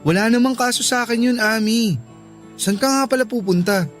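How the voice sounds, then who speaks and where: Pleading young Filipino college suitor. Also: male voice, very high voice, brisk, a bit bright, faint music behind. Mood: eager, coaxing. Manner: pleading, persistent. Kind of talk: Filipino radio drama acting.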